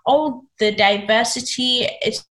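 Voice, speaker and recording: A young woman guest with a high-pitched voice, talking earnestly and reflectively in a casual interview. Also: slow, a bit bright. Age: young adult. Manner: earnest, reflective, with many fillers.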